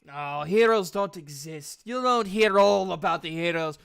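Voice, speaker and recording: A man's voice: high voice, a little slow, vocal fry, fairly bright tone, smooth, dry recording, clean studio sound, full character voice. A man acts out a bitter guild leader from a tabletop fantasy game. He is cynical and foul-mouthed, with a raised, hard-edged voice that rants scornfully about anyone with ideals. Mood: angry scorn. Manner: ranting, scornful.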